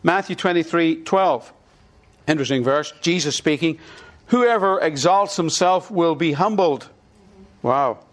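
A man's voice: fairly high pitch, unhurried. A middle-aged man with an Irish accent, preaching a sermon and leading the congregation in call-and-response. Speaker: pastor.

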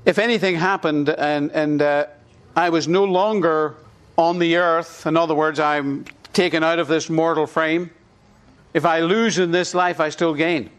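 A middle-aged Irish pastor delivering a sermon, his voice sitting a little high for a man. He leads his congregation in call-and-response, repeating short lines with emphasis so they can echo them back. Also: steady tone, unhurried, smooth.

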